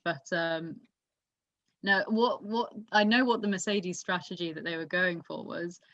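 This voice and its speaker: Low-voiced woman, dull muffled audio. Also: measured pace, a bit bright, no room sound. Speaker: podcast host. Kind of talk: casual motorsport race discussion.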